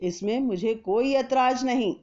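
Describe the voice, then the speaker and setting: A woman reads as a stern Mughal emperor in a children's storybook, commanding and deliberate. The voice is fairly high and slightly dull in the recording. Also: a little mellow, lightly acted. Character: stern Mughal emperor, a man who threatens his courtiers.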